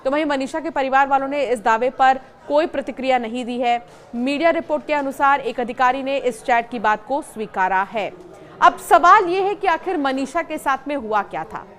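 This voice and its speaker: A woman's voice: high-pitched, normal speed, a bit bright. A woman news presenter reporting in an emphatic, explanatory way, posing questions as she goes. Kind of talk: news reporting to camera, semi-scripted explainer.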